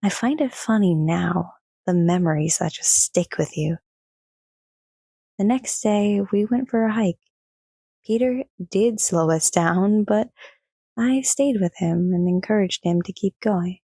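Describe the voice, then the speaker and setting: A woman's voice: medium pitch, a little slow, gravelly, dark tone, husky, dry recording, clean studio sound. A woman playing a thoughtful young daughter in an audio drama, speaking hesitantly and wistfully. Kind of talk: audio drama acting and narration.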